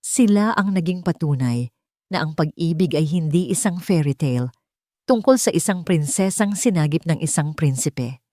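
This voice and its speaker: A woman narrates a scripted romantic drama. Her voice sits a little low for a woman. The recording is very dry and close, with no room sound. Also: animated, moderate pace, warm tone, no room sound. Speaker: audiobook narrator.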